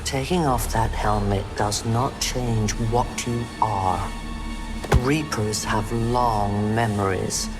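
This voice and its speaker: Grudge-holding resistance leader, scornful, deliberate, very slow, video game, music behind. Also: male voice, fairly low pitch, steady tone. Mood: bitter, scornful. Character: bitter, accusing male resistance leader with long grudge. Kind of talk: video game character acting.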